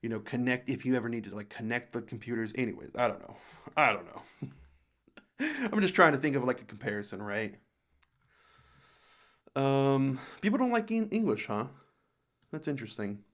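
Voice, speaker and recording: A man's voice: medium pitch, sing-song, moderate pace, pauses often, fairly bright tone. Rambling American man reading comments aloud. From United States. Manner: rambling, thinks aloud with many restarts. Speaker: reaction video host.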